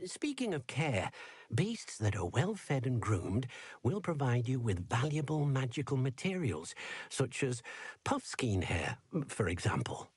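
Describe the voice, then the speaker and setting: A small, kindly house-elf for a fantasy video game. His voice is husky and swings widely in pitch, recorded dry and close. He instructs eagerly and gently, speaking of himself in the third person. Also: dry recording. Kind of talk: scripted video game character dialogue.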